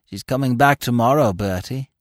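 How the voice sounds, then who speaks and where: A man reading aloud, voicing a lovesick young man who speaks softly, slowly and wistfully. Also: no echo, studio quality, close to an everyday voice. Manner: low, hushed, slow and wistful.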